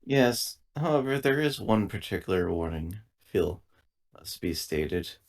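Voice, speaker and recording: A man playing a formal, literal-minded android crew member who speaks precisely and stiffly, with calm menace. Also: low voice, measured pace. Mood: calm, matter-of-fact.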